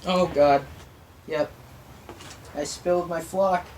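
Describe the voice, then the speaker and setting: A man's voice: on the high side, steady tone, slow, a little mellow, faint music behind, low-quality recording. A man hosting a hobby craft video, chatting informally and explaining things in a self-deprecating way. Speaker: host of a craft and painting video. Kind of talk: casual chat, explaining a hobby project.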